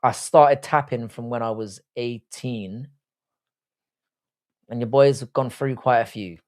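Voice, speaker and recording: A man's voice: medium pitch, measured pace, a little mellow. A man in his early forties with a British accent, hosting a casual livestream chat in a blunt, crude, slangy, jokey way. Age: early 40s. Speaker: livestream host. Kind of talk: casual chat, reading and answering live comments.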